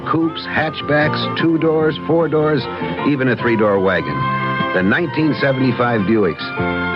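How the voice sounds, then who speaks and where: A man announcing a scripted car commercial for 1970s radio. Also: mid-range voice. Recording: dull vintage radio broadcast. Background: loud jingle music.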